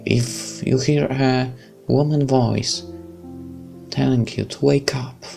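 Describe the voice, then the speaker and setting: A man's voice: medium pitch, steady tone, slow, creaky, a little mellow, soft background music. A young man with a Polish accent, reading a visual novel aloud and chatting, stumbling over words. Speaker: let's play host.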